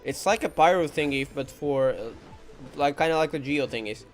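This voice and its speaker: A man's voice: fairly high pitch, normal speed, faint music behind. A man giving casual game commentary as he plays, thinking aloud in short reactions. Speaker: gameplay streamer.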